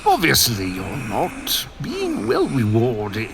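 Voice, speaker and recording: A sly alien ambassador with a rough, drawn-out voice that leaps up and down in pitch, acted out in an audio drama. Noise is clearly heard behind the voice. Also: wildly swooping pitch, full character voice. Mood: taunting. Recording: noisy background.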